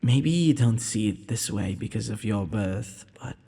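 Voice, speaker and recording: A man's voice: fairly low pitch, lively intonation, a little slow, creaky, warm tone, breathy edge, full character voice. A man playing a shy, tender, self-doubting young prince in a romantic audio roleplay, speaking in hesitant, formal courtly phrases. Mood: bashful, charmed. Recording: long hall-like reverb.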